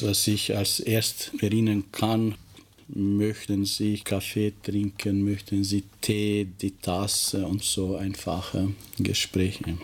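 Slow, flat-voiced man, native Albanian speaker. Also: on the low side. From former Yugoslavia. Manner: halting, searches for words and restarts sentences. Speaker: teacher being interviewed. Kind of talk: interview answers.

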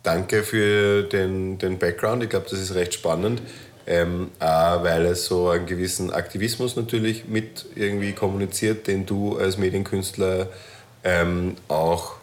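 A man hosting a casual interview, phrasing things in a hesitant, roundabout way with many pauses. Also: deep voice, steady tone. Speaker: host, interviewer.

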